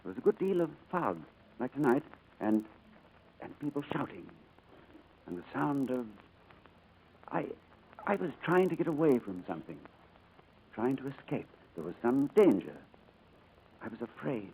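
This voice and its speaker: Haunted English gentleman, hesitant, soft-edged tone, old radio recording with slight noise. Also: mid-range voice, sing-song, rough recording. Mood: dazed, searching. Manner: halting, hesitant. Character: haunted, reserved English gentleman struggling with lost memory. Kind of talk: 1940s radio acting.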